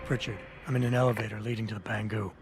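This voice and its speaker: An actor playing a terse, cool security operative in a video game, speaking in a clipped deadpan. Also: male voice, lowish voice, very fast, vocal fry, background noise. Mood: cool, businesslike. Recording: music behind the voice.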